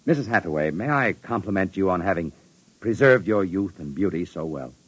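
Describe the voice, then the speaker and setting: An actor playing a courteous, inquisitive spaceship captain in 1950s radio drama style. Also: male voice, sits low, lively intonation, fairly quick, fairly bright tone, husky, no room sound, heavily acted. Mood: admiring. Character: spaceship captain, polite but probing middle-aged man. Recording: noisy vintage 1950s radio recording.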